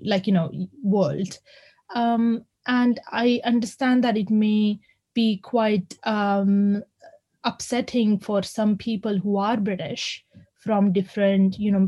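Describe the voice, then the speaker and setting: A woman with an Indian accent talks haltingly at a community discussion, with frequent ums and restarts. Her voice is mellow and soft-edged, and her pitch sits in a middling range. She speaks as a university lecturer sharing her thoughts. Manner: hesitant, many fillers and restarts. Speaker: university lecturer, audience member. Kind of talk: unscripted remarks at a community discussion.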